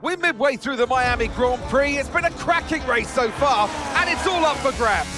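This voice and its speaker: A man playing a Formula One commentator, calling a race live in an excitable manner. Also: very high-pitched, normal speed, music in the background. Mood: building excitement.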